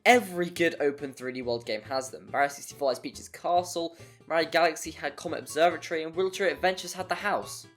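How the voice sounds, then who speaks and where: A man's voice: on the high side, a little fast, a bit bright. A man reads a scripted game review as a video essay. His delivery is jokey and wry, leaning into exaggeration. Music plays behind his voice.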